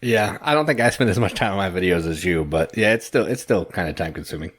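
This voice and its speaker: A man's voice: low voice, expressive, quick pace, fairly bright tone, breathy edge. A man co-hosting a board game podcast, casually running through the news in an easygoing, step-by-step way. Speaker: podcast co-host. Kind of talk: casual chat, news rundown.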